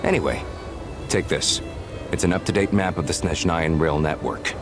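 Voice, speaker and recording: A man's voice: deep voice, normal speed, music in the background. An actor playing a courteous, chatty male railway official in a video game. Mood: friendly, advisory.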